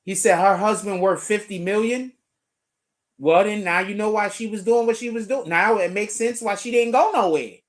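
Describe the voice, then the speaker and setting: A man's voice: high voice, moderate pace. Laid-back profane man from the Bronx. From the Bronx, New York. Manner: loose, profane, talks while clicking through pages. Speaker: streamer host. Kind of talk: casual commentary on news.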